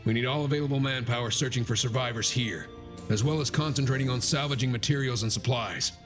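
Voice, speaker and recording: An actor playing a grave, dutiful battalion commander in a sci-fi motion comic, clipped and commanding. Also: male voice, mid-range voice, quick pace, close to an everyday voice. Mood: firm, commanding. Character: stern, weary male battalion commander, self-blaming and dutiful. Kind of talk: animated motion-comic drama acting. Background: music.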